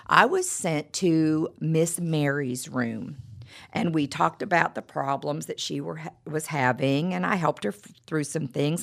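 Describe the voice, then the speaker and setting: Lively, gravelly-voiced woman with a cold. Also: sits low. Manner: conversational, with frequent stammered repeats and "you know". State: froggy voice from a cold. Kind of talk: devotional talk with personal stories.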